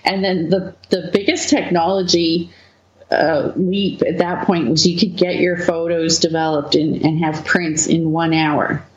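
A woman from Manitoba, Canada, a webcomic co-founder as the guest, talking through her past slowly with frequent laughs and fillers. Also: on the low side, little pitch movement, darkish tone, roomy sound, highs cut off. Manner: slow, laughing often, many fillers. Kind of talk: relaxed interview conversation.

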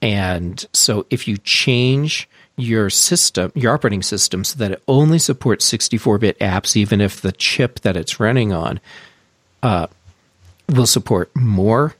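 A man's voice: on the low side, a little slow, dry recording. A man hosting a casual podcast, chatting with his co-hosts and explaining news step by step. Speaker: podcast host. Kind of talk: casual tech podcast chat.

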